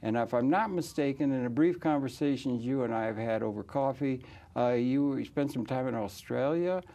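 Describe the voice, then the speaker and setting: A man hosting a conversational interview, asking rambling, halting questions that tend to trail off. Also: mid-range voice, warm tone. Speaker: talk show host.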